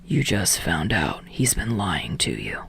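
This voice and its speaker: A woman voicing a weary, exasperated male film director in a low, flat, hushed delivery for an audiobook story. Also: a little slow, vocal fry, breathy edge, no room sound, exaggerated voice. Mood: quiet, grave.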